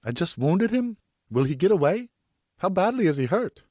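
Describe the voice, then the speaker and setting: Audiobook role: male reader as young son on a first hunt, high, breathy, anxious, dry sound. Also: sing-song, normal speed, dark tone, dry recording. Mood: distressed. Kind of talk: audiobook character acting.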